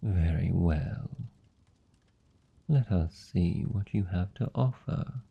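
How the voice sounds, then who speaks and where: Man playing a suave vampire lord, British accent, very gravelly, husky, seductive, formal, intimate fantasy ASMR. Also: low-pitched, lo-fi sound. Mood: teasing, coaxing. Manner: teasing, formal, silky and deliberate. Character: suave male vampire lord, seductive, commanding, politely predatory. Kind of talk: fantasy ASMR roleplay, slow intimate monologue.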